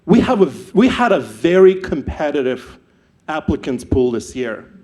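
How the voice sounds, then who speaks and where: Young man, Ukrainian accent, fairly high voice, lively stage storyteller who restarts a lot. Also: normal speed. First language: not English. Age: young adult. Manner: animated, repeats words and restarts. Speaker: startup founder speaker.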